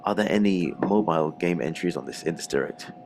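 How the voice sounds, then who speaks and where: Man, low voice, rough and strained, laid-back slangy chat, gaming co-host, faint background noise. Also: brisk. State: rough, strained voice from a throat infection. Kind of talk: casual chat.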